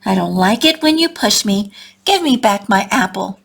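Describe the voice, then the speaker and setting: A woman voicing a small, eager young male bug schoolboy in a storybook, with a high, excited voice. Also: moderate pace, creaky. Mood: bold.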